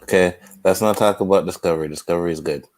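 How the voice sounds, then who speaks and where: A man's voice: low voice, fast, darkish tone, dry recording. A man co-hosting a casual stream, laid-back and friendly, with some playful trash talk. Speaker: streamer co-host.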